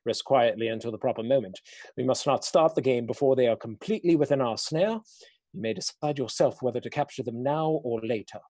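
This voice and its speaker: Dark-voiced scheming nobleman, acted by a man. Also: mid-range voice, lightly acted. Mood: scheming, commanding. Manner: measured, formal, archaic phrasing. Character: scheming, commanding male Earl, coolly menacing nobleman. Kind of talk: radio play acting.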